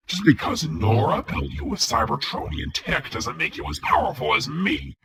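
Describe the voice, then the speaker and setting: Tyrannical robot overlord, gravelly and husky, menacing, wide dramatic swings, cartoon villain. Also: male voice, mid-range voice, bright, forceful tone, heavily acted. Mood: scornful. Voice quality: gravelly, raspy. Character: tyrannical evil robot warlord, vengeful, grandiose, hateful. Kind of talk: scripted villain voice acting demo.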